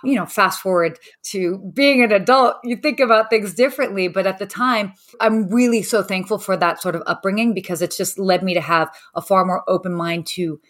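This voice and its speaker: A woman's voice: medium pitch, normal speed, hardly any pauses, fairly bright tone. A woman, a podcast guest who grew up in the United States and Saudi Arabia, talking in an open, upbeat and reflective way. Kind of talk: casual interview talk.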